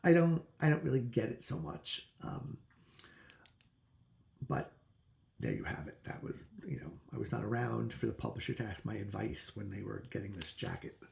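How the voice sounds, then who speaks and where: A man reviewing books in a casual, unscripted way, enthusiastic and opinionated, often pausing to search for words. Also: lowish voice, expressive, creaky, darkish tone, a little hiss or hum. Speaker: book reviewer.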